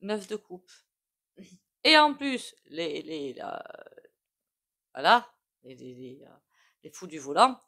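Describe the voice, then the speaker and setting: A woman giving a casual, rambling tarot reading, full of fillers and frequent throat clearing. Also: lowish voice, very animated, slow, pauses often, pushed and bright. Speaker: tarot reader.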